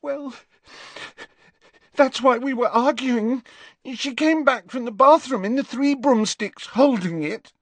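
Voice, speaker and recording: A woman's voice: high voice, takes it slowly, no room sound, pitched above the performer's own voice, full character voice. A man reading an audiobook voices a distraught teenage schoolgirl who is crying and panicked. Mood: sobbing, panicked.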